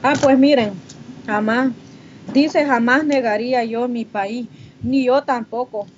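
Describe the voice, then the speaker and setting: High-pitched Honduran woman, muffled noisy stream. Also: fairly even intonation, slow. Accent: Honduran Spanish. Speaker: livestreamer chatting with viewers while doing makeup. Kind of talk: casual chat reading and answering comments. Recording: muffled, noisy livestream audio.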